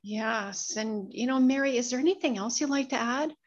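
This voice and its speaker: A woman's voice: fairly high pitch, moderate pace. A woman interviewer who hesitantly asks questions in a casual interview, using fillers. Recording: dull, lo-fi phone line.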